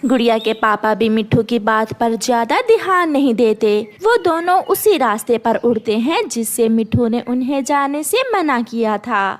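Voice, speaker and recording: A woman's voice: on the high side, animated, moderate pace, hardly any pauses. A woman narrating a scripted children's cartoon story.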